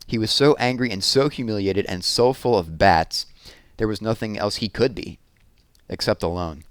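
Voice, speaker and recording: A man narrating a children's chapter book aloud as an expressive storyteller. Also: lowish voice, moderate pace, clean tone, dry recording, clean studio sound.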